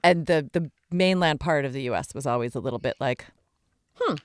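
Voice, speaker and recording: A woman's voice: low-pitched, a little fast, clear, resonant tone. A woman who grew up in Hawaii, co-hosting a podcast and chatting in an engaged way with frequent affirmations. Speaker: podcast co-host. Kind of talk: casual podcast interview.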